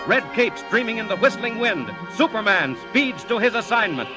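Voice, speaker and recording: A man's voice: high-pitched, very animated, normal speed. A man narrating an adventure serial as a dramatic 1940s radio announcer. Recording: dull vintage radio recording with music.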